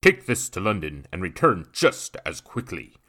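A man voicing a brash, commanding gentleman giving orders in a storybook reading. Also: sits low, very animated, normal speed, exaggerated voice.

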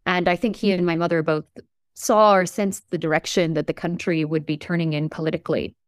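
Articulate, measured woman professor. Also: lowish voice, steady tone, no room sound, clean studio sound. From United States. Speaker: English professor. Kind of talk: reflective interview talk.

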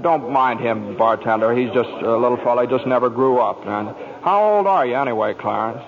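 An actor playing a despairing, sarcastic family man in an old-time radio drama, with a halting, folksy, stammering way of talking. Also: medium pitch, over music, lightly acted. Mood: bemused. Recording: muffled, noisy old radio recording.

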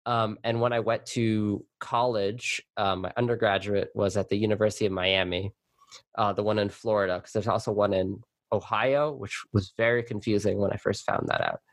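A man's voice: fairly low pitch, animated, normal speed, gravelly, dry recording. A young man, born in Colombia and raised in New York City, talking casually as an interview guest with lots of fillers and restarts. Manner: chatty, with many fillers and restarts. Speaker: guest, arachnologist.

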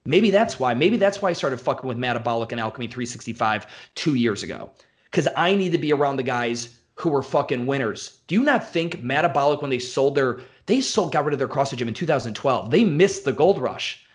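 A gym owner who talks in blunt, profane bursts, piling one example on the next. His voice sits at an ordinary middle pitch. It is relaxed, unscripted podcast talk. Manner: blunt, profane, fast-flowing rants. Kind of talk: casual podcast conversation.

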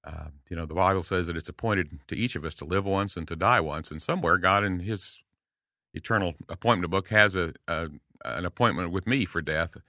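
An older man in his late sixties with a low, bright-edged voice speaks earnestly as a podcast guest. He is recorded close and dry, with no room sound. He tells small stories and slips into quoted voices to make his points. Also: brisk, dry recording. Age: 60s. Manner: earnest, storytelling, warm encouragement with acted quotes. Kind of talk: casual podcast conversation.

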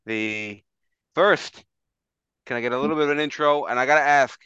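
A man hosting a podcast interview, asking questions in a relaxed way and sometimes fumbling for words. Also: mid-range voice, moderate pace, smooth, dry recording. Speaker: podcast host. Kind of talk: casual interview. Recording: dull remote audio.

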